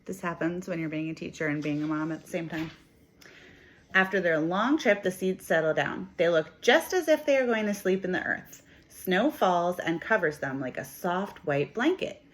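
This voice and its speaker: A woman teacher reading a picture book aloud to children, with expressive, emphatic delivery. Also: lowish voice, normal speed.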